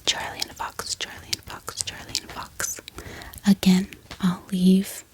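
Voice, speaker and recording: A woman whispers softly and breathily in a gentle, chatty ASMR style, talking informally to her viewers close to the microphone. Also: mid-range voice, monotone, measured pace. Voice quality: breathy, mostly unvoiced whisper. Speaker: ASMR video host. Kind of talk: soft-spoken whispered ASMR.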